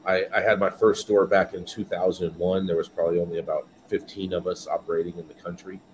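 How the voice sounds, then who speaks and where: A man's voice: low-pitched, flat delivery, fast talker, a little mellow, a little hiss or hum, poor audio. A man from California, a guest on a podcast interview, telling his story earnestly and repeating words as he goes. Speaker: guest, business owner.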